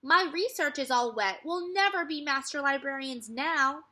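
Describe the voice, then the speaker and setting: A woman's voice: high voice, normal speed, pushed and bright, pitched above the performer's own voice. A woman voicing a cautious, bookish young boy in a children's storybook, with an earnest, matter-of-fact manner. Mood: dejected.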